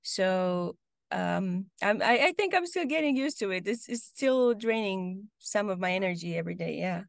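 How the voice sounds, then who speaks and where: A woman's voice: mid-range voice, lively intonation, moderate pace, creaky, dry recording. Young Romanian woman, hesitant and dry-sounding. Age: young adult. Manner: hesitant, many ums and restarts. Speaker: guest. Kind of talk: casual interview answers. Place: home room, video call.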